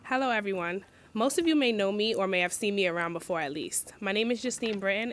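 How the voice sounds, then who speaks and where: A woman's voice: mid-range voice, fairly bright tone. A young woman student giving an earnest, read campaign speech as a candidate, stopping to redo a line when she slips. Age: young adult.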